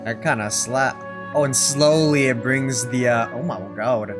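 A man's voice: mid-range voice, a little slow. Slangy man reacting, music behind. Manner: exclamatory, slangy, repeats himself. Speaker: reaction streamer. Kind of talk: casual reaction commentary, slangy. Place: small bedroom streaming setup, indoors. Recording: loud music from played videos. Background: game trailer music.

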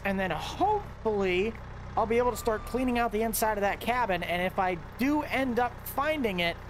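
A man giving casual, chatty commentary over his gameplay, talking straight to his viewers with excitable outbursts. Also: high-pitched, a little hiss or hum. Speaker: gameplay video host.

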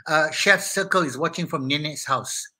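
A man's voice: a little high, moderate pace. A middle-aged Malaysian man with a Malaysian accent, hosting a live online talk show and chatting energetically with viewers in a small studio. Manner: energetic and hyper, greeting viewers by name. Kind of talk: casual live-stream chat with viewers. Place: small indoor studio set with brick wall.

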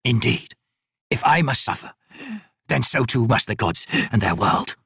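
A man voicing a bitter, chained, crippled god in an audiobook, rasping and wheezing, with slow, laboured, archaic speech. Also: mid-range voice, lively intonation, a bit bright, dry recording, clean studio sound, heavily acted. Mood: vengeful.

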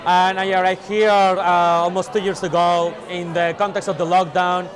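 A man with a Spanish accent talks casually in a high-pitched voice, feeling for his words as he goes. He wears a lapel mic in a busy venue, with clear crowd chatter behind him. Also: level tone, normal speed. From Spain. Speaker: meetup organiser. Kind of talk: casual interview answers. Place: large busy indoor venue. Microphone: clip-on lapel.

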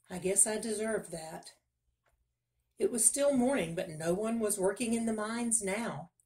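A woman reading a children's story aloud as narrator, in scripted narration. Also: medium pitch.

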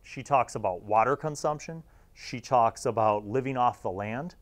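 A man's voice: mid-range voice, moderate pace. A man in his forties talking casually and wryly to camera as a host reviewing things, on a lapel mic in a small studio. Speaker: host reviewing old gaming magazines. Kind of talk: casual, informal commentary to camera.

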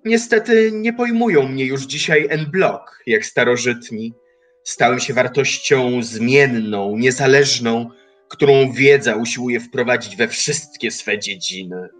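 An actor playing Time personified as a mocking, lofty old man in an audio drama, speaking slowly in an archaic manner. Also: mid-range voice, soft background music, natural voice. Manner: slow, measured, archaic speech.

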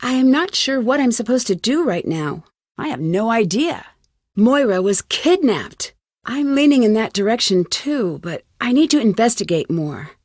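A woman's voice: fairly high pitch, very animated, normal speed, a little mellow, no room sound, clean studio sound. An actress playing an earnest, determined young female amateur detective in conversational video game dialogue. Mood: puzzled, focused. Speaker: lead character in a detective adventure game.

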